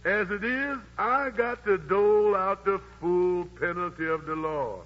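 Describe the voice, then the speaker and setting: A blustering petty army sergeant. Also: male voice, high-pitched, a little slow. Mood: officious. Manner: blustering, officious, drawling dialect. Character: pompous male army sergeant, petty and flirtatious. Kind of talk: 1940s radio musical drama. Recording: dull vintage 1940s radio broadcast.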